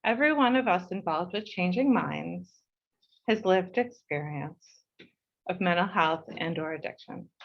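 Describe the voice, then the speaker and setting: A woman's voice: fairly low pitch, lively intonation, vocal fry, highs cut off. Heartfelt New woman reading a speech. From United States. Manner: measured and heartfelt, slowing for emphasis. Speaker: board chair. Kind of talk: reading a prepared speech at an online meeting.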